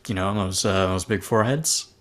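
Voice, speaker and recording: A man's voice: sits low, moderate pace, natural voice. A man playing a jovial middle-aged husband who tells jokey anecdotes in a sci-fi table read. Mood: jovial, storytelling. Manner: jokey storytelling.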